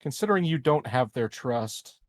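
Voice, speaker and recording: A man's voice: mid-range voice, lively intonation, moderate pace, no echo, studio quality. A man joining in casual banter as a player in a tabletop roleplaying game.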